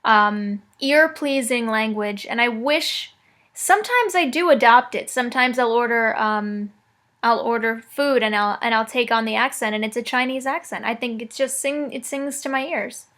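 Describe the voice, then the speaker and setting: A woman with a high, bright voice runs a prank phone call. She keeps a straight face and teases the person on the other end. Her voice is a little higher than most women's and ringing. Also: moderate pace. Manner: mock-serious, teasing. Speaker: prank caller.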